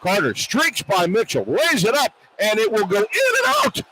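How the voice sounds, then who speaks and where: High-voiced American man calling basketball. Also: wildly swooping pitch, moderate pace, pushed and bright, rough recording. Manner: terse clipped phrases following the action. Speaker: radio play-by-play announcer. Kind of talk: live basketball play-by-play commentary. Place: courtside in a large indoor arena. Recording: radio broadcast with clear arena noise. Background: arena ambience and noise.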